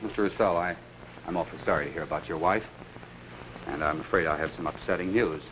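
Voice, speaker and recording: A man acting as a courteous, questioning detective in a 1950s television mystery, with small hesitant pauses. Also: low-pitched, close to an everyday voice. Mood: grave, courteous. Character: Ellery Queen, probing, courteous male detective. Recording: noisy old 1950s television soundtrack.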